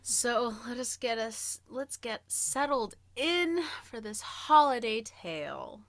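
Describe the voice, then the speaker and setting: A woman's voice: on the high side, expressive, takes it slowly, fairly bright tone, no echo. A woman in her early thirties co-hosting a podcast, telling a personal story in a chatty, playful, self-deprecating way. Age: early 30s. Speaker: podcast co-host.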